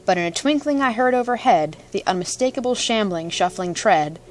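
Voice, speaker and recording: A woman's voice: medium pitch, animated, brisk, slight background noise. A woman reading a storybook aloud with dramatic, playful narration. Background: music.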